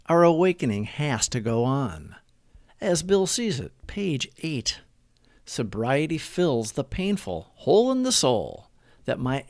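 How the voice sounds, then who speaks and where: A man reading a daily recovery meditation aloud, with an earnest, measured and prayerful delivery. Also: fairly high pitch, no echo, clean studio sound.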